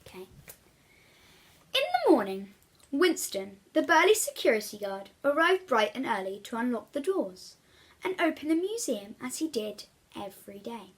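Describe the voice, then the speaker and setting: A woman reading a children's story aloud with expressive, dramatic emphasis. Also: on the high side, normal speed. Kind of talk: animated read-aloud storytelling for young children.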